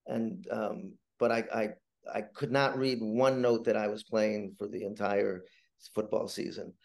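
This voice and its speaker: An older man telling casual anecdotes as a podcast guest, halting and repeating his words, in a self-deprecating manner. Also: fairly low pitch, steady tone, darkish tone.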